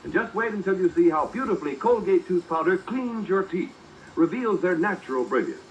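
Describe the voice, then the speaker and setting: A man's voice: high-pitched, animated, brisk, dull audio, a little hiss or hum. A man working as a 1940s radio announcer, delivering emphatic, polished sales copy.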